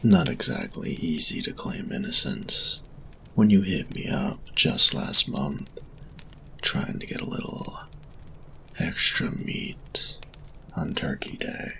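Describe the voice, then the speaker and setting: Man acting very deep, gravelly, cocky flirty bully. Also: sharp tone, heavily acted. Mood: smug taunting. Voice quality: breathy, gravelly low voice. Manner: slow smug drawl, taunting. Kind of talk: intimate roleplay audio acting.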